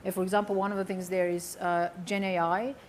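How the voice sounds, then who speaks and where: A woman's voice: mid-range voice, flat delivery, fairly quick, darkish tone. Woman panelist, faint noise behind. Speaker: conference panelist, marketer. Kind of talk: explanatory panel talk.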